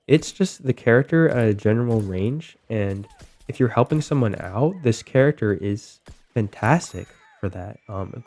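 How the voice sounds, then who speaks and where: A young man getting over a cold, talking through game tips in a relaxed way with lots of ums and likes. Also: medium pitch. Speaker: gaming video host. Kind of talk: casual gameplay commentary.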